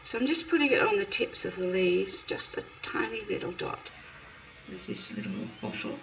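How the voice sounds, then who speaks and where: A woman hosting a card-making demonstration, chatting through each step with a croaky voice. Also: fairly low pitch, wildly swooping pitch, moderate pace, fairly bright tone. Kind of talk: casual craft demonstration. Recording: roomy, mic at a distance, faint background noise. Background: birds outside.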